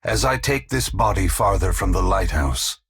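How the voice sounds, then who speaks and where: An actor playing an ancient, solemn, gentle and remorseful male god in a video game, speaking in a slow, measured, philosophical way with a deep, gravelly, husky voice. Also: expressive, full character voice. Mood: grave, calm. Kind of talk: scripted video game character dialogue.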